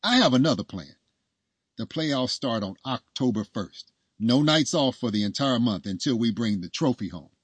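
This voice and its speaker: An eager, naive young husband from a storybook, played by a male narrator. He is cocky and romantic, with a husky voice heard close and dry. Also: mid-range voice, animated, moderate pace, no room sound. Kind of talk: storybook dialogue.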